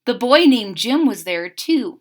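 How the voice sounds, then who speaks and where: A woman reading a children's picture book aloud, expressive, adding chatty asides for young listeners. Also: a little high, a little slow. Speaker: storybook reader.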